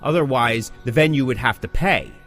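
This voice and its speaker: A man talks in an ordinary mid-pitched voice with faint music underneath. He explains things as a podcast co-host would, casually and with clear emphasis. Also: moderate pace, fairly bright tone.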